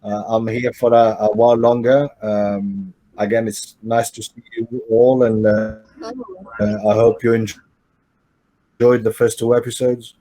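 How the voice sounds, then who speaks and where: Italian-accented man, halting and friendly. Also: lowish voice, level tone, dark tone, no room sound, rough recording. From Italy. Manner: halting, searches for words in a second language. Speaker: animation producer answering fan questions. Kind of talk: casual online Q&A.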